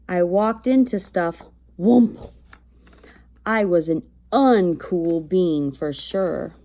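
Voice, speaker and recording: A woman reading a children's picture book aloud expressively, with animated emphasis, acted sound effects and chatty asides to her listeners. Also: mid-range voice. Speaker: read-aloud storyteller.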